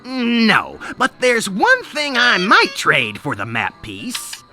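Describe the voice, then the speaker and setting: An actor playing an eccentric, shrewd antique shopkeeper in an animated adventure game, haggling in a dry, dismissive way. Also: male voice, high-pitched, slow, heavily acted. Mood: scheming. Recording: game music behind the voice.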